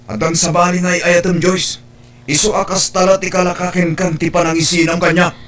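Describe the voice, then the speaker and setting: A man's voice: high-pitched, little pitch movement, fairly quick, fairly bright tone. A man with an Ilocano accent playing a jealous, distrustful son and boyfriend in an audio drama, questioning and accusing.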